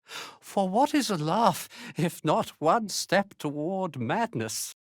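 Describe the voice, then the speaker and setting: A woman playing a giggling, unhinged but gently blessing female spirit in a video game, speaking in solemn archaic phrases. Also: fairly low pitch, lively intonation, takes it slowly, dry recording, studio quality. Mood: dreamy, giddy. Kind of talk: video game character acting.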